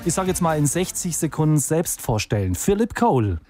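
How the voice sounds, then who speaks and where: A man's voice: a little high, big pitch swings, fairly quick, darkish tone. A man hosting a music chart show, presenting acts with upbeat patter and interviewing a guest. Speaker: radio show host.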